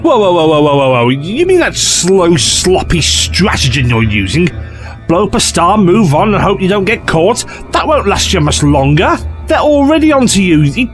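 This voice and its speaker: A man playing a cocky, scheming renegade in an audio drama, with a flippant, persuasive, theatrical delivery. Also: high-pitched. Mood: mocking.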